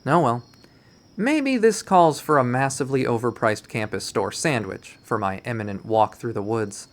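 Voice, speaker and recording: A man reads a visual novel aloud as its narrator. His delivery is theatrical and expressive, playing the inner monologue as first-person drama. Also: medium pitch, moderate pace.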